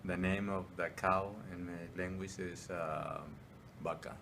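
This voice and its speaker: Deep, flat man. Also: unhurried, creaky, background noise. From Mexico. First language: Spanish. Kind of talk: short self-introduction.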